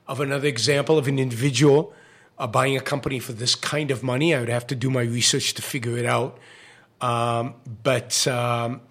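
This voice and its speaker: A man's voice: mid-range voice, fairly bright tone. A man hosting a live-stream show, giving opinionated commentary with many fillers and restarts, his voice starting to go. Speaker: show host.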